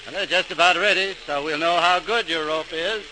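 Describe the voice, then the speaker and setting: A brusque government man in an old radio drama. His voice is slightly high for a man, and his manner is clipped, skeptical and dismissive. The vintage recording is clearly noisy. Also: moderate pace, bright, forceful tone. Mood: grudging. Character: Mr. Wyatt, brusque, skeptical male canal official. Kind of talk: 1940s radio drama acting.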